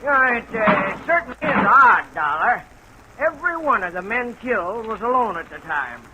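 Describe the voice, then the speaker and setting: A man's voice: high-pitched, sing-song, moderate pace, sharp tone, heavily acted, poor audio. An actor playing an evasive, defensive male steel mill boss in an old-time radio drama, hesitant and stiff. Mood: uneasy. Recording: old radio recording with faint hiss.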